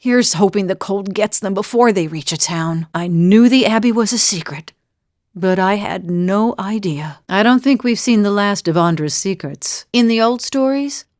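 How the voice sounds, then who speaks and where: A woman playing a wry, warm-hearted adventuring priestess of a sea goddess in a video game, with playful banter. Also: mid-range voice, normal speed, no echo, studio quality, lightly acted. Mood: soft, solemn. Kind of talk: video game companion voice lines.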